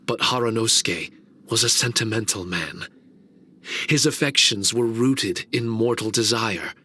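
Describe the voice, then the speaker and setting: An actor playing a solemn, wistful male shikigami servant in a game cutscene, speaking in a slow, measured, reflective way. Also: medium pitch, bright, forceful tone, slightly rough, full character voice.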